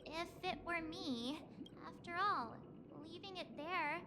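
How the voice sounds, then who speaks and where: Very high-pitched, skeptical girl. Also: slow, heavy background noise. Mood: puzzled, skeptical. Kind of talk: scripted video game dialogue.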